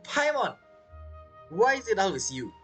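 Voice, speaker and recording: A man's voice: high-pitched, sing-song, measured pace, a bit bright, faint music behind. A man giving casual, joking, reactive commentary as he streams a game. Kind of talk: casual commentary over gameplay.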